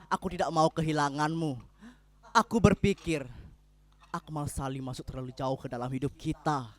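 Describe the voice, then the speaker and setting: An actor playing a jealous, insecure husband, volatile yet pleading, in an emotional dramatic reading. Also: male voice, on the high side, steady tone, moderate pace, natural voice. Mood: anguished confession. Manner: emotional, theatrical delivery. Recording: music behind the voice.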